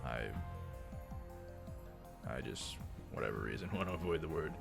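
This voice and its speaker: A man's voice: extremely low pitch, expressive, moderate pace, vocal fry. A man reading a visual novel aloud as a let's player, adding hesitant, rambling casual commentary. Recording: game music behind. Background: game music.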